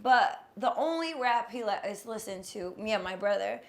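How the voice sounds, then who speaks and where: A woman from Japan, a singer, chatting cheerfully as a podcast guest with a slightly raspy voice. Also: mid-range voice, lively intonation, moderate pace. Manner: cheerful, mixes in Japanese words. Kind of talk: casual interview chat.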